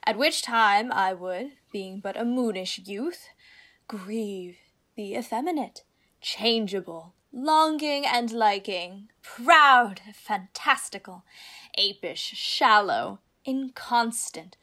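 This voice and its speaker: A woman acting in a Shakespearean radio play as a witty young heroine disguised as a teasing youth, with a deliberate delivery. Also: a little high, animated, sharp tone, full character voice. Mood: mocking, playful.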